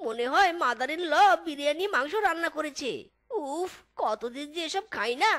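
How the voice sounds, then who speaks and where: Very high-pitched bossy cartoon fox. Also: female voice, animated, moderate pace, a bit bright. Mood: bossy, coaxing. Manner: exclaiming, scolding, complaining. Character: bossy eldest fox brother, gluttonous, whiny son-in-law. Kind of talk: comic cartoon dialogue.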